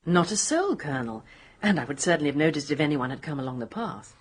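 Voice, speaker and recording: An assured witness in a radio mystery. Her low voice is husky and varied in intonation, with composed, precise phrasing. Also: lively intonation, fast. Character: composed, assured female hotel guest witness. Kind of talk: radio mystery drama acting.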